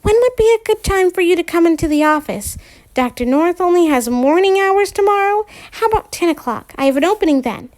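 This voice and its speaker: Woman doing a chirpy office receptionist lady, high, bouncy, efficient. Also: animated, a little fast, a little mellow.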